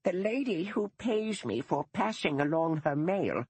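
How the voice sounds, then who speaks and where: A man voicing a stern, dour elderly woman, a retired schoolteacher who speaks slowly with prim, precise diction. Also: high voice, animated, darkish tone, husky, dry recording, voice pushed up, exaggerated voice.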